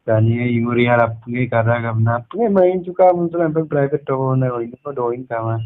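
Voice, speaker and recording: A man's voice: mid-range voice, normal speed, phone-quality sound. A man having a casual phone conversation, dropping in English words.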